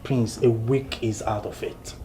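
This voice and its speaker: A man's voice: lowish voice, steady tone, unhurried, warm tone, lightly acted. A man with a Nigerian accent playing a prince's candid young friend in a naturalistic film drama. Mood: excitable. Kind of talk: naturalistic Nollywood film acting.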